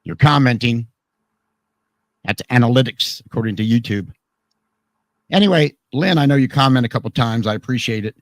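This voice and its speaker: A man hosting a live radio talk program, his voice worn hoarse, chatting casually and jokingly with many restarts. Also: medium pitch, normal speed, pauses often, no room sound.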